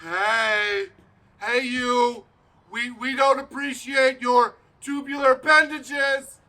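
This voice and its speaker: A man playing a loud, simple, overeager American soldier in a comedic stage radio play. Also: extremely high pitch, steady tone, slow pace, pitched above the performer's own voice, heavily acted. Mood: blustering. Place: outdoors, parking lot stage.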